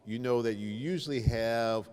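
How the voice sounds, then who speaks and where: A man's voice: mid-range voice, moderate pace, a little mellow, clean tone, big-room sound. A middle-aged man moderating a panel before an audience, speaking informally in a conversational, joking, self-deprecating way. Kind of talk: informal spoken introduction to an audience. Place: large reverberant event room.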